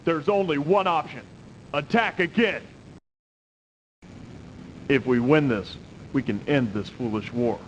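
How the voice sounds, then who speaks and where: A man acts as a proud warrior emperor in a video game cutscene. He speaks sternly and declaims like a ruler at war, in a medium male register. There is faint noise and rumble on the old recording. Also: unhurried, long pauses, highs cut off, close to an everyday voice. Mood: commanding, determined. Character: proud commanding emperor at war with his brother. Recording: noisy 1990s CD game audio, rumble.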